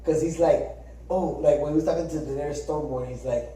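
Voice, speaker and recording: A man co-hosting a podcast in casual chat, talking in an animated way, swearing and acting out quotes. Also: fairly high pitch, brisk, a little hiss or hum. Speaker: podcast co-host. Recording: echoey room, far from the mic.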